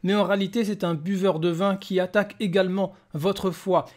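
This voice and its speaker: A man's voice: high voice, steady tone, fairly quick, a little mellow. A man hosting a polemical commentary, talking in a mocking, combative and emphatic way. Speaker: YouTube host.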